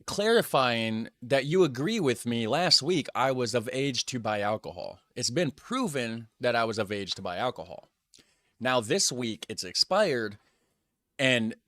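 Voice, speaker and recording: A man's voice: medium pitch, fairly bright tone, no echo, studio quality. A man from the United States hosting a reaction video and telling an exasperated story in casual talk.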